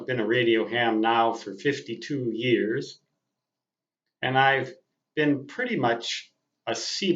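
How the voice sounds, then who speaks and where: Slow-talking man in his sixties, roomy sound. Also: medium pitch, fairly bright tone. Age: 60s. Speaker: veteran amateur radio operator. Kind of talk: casual reflective monologue. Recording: muffled audio in a roomy space.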